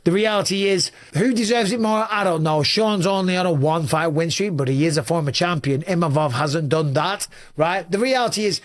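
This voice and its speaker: A middle-aged man with an English accent, hosting a fast, shouty commentary monologue, his voice a little raspy. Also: a little high, no room sound. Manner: talks very fast and shouts a lot. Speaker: host.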